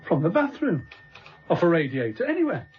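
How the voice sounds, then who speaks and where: Higher-pitched, measured British detective. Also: male voice, wildly swooping pitch, dull audio, soft background music. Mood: sceptical. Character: measured, sceptical male police detective subordinate. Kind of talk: British radio drama acting.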